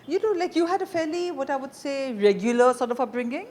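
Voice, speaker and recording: A woman's voice: high voice, animated, fast, darkish tone, a little hiss or hum. A woman with an Indian accent hosting a conversational interview with informal, direct questions. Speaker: interview host.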